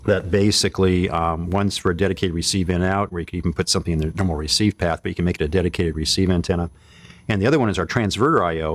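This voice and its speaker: A man's voice: low-pitched, no room sound. A man giving an informal hands-on product demonstration, explaining things step by step and thinking aloud as he goes. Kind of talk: casual hands-on product demo.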